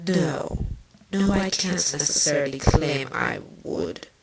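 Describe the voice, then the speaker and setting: A woman's voice: sits low, lively intonation, slow, heavily acted. Noisy recording, haughty changeling queen woman acting. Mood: cold, firm. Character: proud, hard, guarded changeling insect queen villain. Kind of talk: amateur fan fiction voice acting. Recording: clearly noisy amateur mic with clicks.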